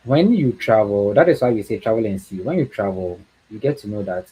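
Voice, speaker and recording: A man's voice: on the low side, animated, a little fast, mellow, husky, low-quality recording. A man from Ghana with a Ghanaian accent, speaking as a guest on a livestream talk, earnest and encouraging, often repeating words for emphasis.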